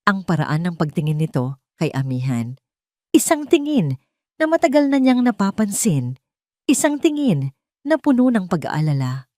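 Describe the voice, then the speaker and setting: A woman's voice: medium pitch, sing-song, moderate pace, pauses often, warm tone, no room sound, studio quality. A woman narrating a scripted love story in a dramatic storytelling style.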